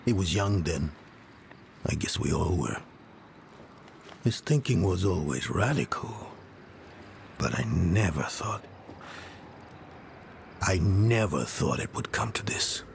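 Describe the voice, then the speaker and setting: An actor voicing a weary, reluctant middle-aged scholar in a video game, subdued and brooding, with a gravelly voice. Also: male voice, sits low, lively intonation, slow pace, long pauses, slight background noise, exaggerated voice. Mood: regretful. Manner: hesitant, subdued. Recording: music behind.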